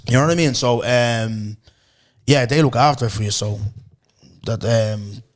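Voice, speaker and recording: A man, hosting a podcast in loose, slangy chat, his voice hoarse. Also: medium pitch, normal speed. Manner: loose, animated storytelling with lots of slang and repeated "bro". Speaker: podcast host. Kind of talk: casual chat.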